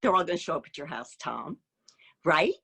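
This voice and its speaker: A woman hosting a children's storytime, chatting in an expressive, playful way. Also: low voice, fairly quick, vocal fry, breathy edge. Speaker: storytime host.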